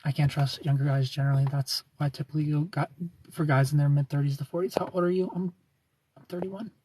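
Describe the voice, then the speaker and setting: A man's voice: a little high, fairly even intonation, fast, dark tone, a little hiss or hum, poor audio. A man in his thirties hosting a casual livestream, reading viewer comments aloud, joking about himself and laughing often. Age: 30s. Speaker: livestream host.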